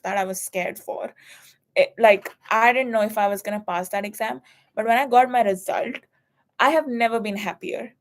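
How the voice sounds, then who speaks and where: A young woman in her twenties from India, chatting casually and telling an animated story about passing an exam, swearing now and then. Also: a little high. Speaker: student. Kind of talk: casual chat.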